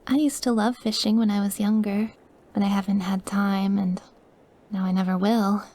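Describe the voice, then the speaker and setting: A woman playing a sweet, awkward, wistful young woman who is dying and quietly obsessive, in a soft, hesitant, intimate ASMR roleplay. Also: mid-range voice, flat delivery, normal speed, lightly acted. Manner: soft, hesitant, gushing, at times dropping to breathy murmurs. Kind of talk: ASMR audio roleplay, intimate one-sided dialogue.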